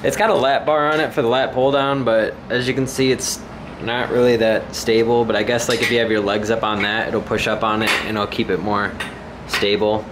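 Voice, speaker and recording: A man's voice: lowish voice, flat delivery, a little fast, background noise. A man giving casual, unscripted vlog narration in an informal, hesitant way, as if thinking aloud. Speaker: home gym vlogger. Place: concrete basement home gym, indoors. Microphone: handheld camera mic, operated by speaker.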